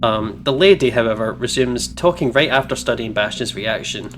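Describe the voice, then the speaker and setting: A man's voice: mid-range voice, lively intonation, moderate pace, a little hiss or hum. A man doing a casual game playthrough, reading narration aloud and reacting with amusement. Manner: giggly, amused.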